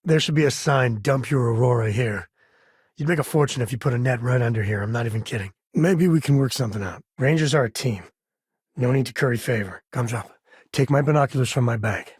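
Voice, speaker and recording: An actor playing an easygoing middle-aged frontier ranger and dad in a space video game, husky and raspy, with a wry, plain-spoken manner. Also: male voice, mid-range voice, brisk, a little mellow, no room sound, clean studio sound, natural voice. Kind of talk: video game character dialogue. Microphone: close, dry studio mic.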